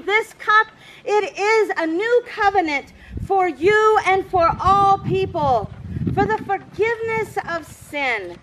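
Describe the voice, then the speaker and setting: A woman pastor in her sixties leading an outdoor service, projecting with deliberate phrasing, recorded on a camera from a distance. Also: very high-pitched, background noise. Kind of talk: outdoor church service, communion liturgy and informal asides. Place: open grassy campground, outdoors. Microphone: camera several metres away.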